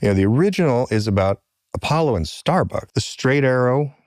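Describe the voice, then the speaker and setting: A man playing a TV writer thinking out loud, casual and halting as he muses over a script. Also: mid-range voice, animated, no echo, clean studio sound, lightly acted. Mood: musing. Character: male TV writer musing aloud over a script.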